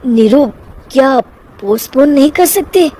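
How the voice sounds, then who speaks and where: A woman's voice: high-pitched, expressive, measured pace, mellow. A woman voicing a money-worried, easily irritated young husband in a cartoon story, with a hesitant manner. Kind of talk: Hindi cartoon story voice acting.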